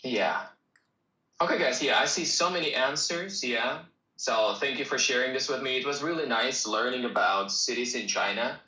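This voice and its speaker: Bright-voiced man with Russian roots teaching. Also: mid-range voice, normal speed, echoey room, low-quality recording. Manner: relaxed, encouraging, talks straight to viewers. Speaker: English teacher. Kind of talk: live-stream Q&A with students.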